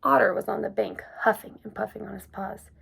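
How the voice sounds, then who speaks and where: A woman reading a children's picture book aloud, gentle and expressive. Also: mid-range voice, fairly quick, vocal fry, breathy edge. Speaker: storyteller. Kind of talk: children's story read-aloud.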